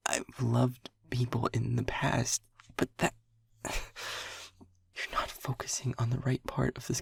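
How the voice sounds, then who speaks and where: A man voicing an anxious, devoted technician lover who whispers his pleas in a husky, creaky voice and stammers as he talks. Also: medium pitch, fairly bright tone, dry recording, exaggerated voice. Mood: pleading. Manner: hesitant and stammering, pleading. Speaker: audio roleplay performer. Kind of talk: intimate whispered audio roleplay.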